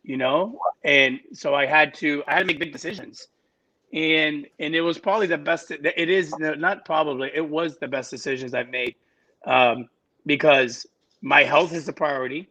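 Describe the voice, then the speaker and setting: Bright-voiced man telling his story. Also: on the high side, rough recording. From Miami, United States. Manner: halting, restarts phrases often. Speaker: podcast guest. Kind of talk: casual interview storytelling.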